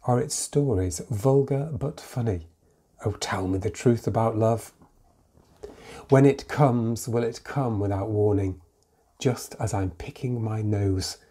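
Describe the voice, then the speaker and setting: Man reading poetry, soft dark tone. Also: fairly low pitch, slow, husky. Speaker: poetry reader. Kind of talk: poetry reading with spoken introduction.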